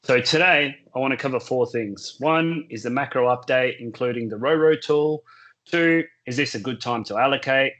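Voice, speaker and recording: A man, hoarse from a sore throat, talks through a solo market update. His voice sits at an ordinary middle pitch. He lays out his points one by one in a measured, explanatory way. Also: fairly bright tone, dull audio. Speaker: crypto market commentator.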